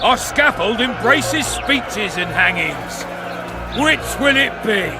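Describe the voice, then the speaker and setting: High-pitched brutish commandant, slow deliberate delivery, scornful and menacing, video game, music and crowd behind. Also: male voice, lively intonation, heavily acted. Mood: angry, scornful. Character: brash, bullying male town commandant, coarse and menacing.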